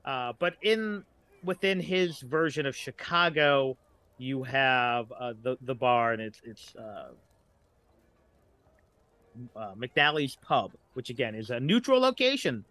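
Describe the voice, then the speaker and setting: A man with a bright, forceful voice at middling pitch chats as a podcast guest. He speaks informally and lets asides trail off. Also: expressive, slow, no room sound. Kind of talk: casual chat.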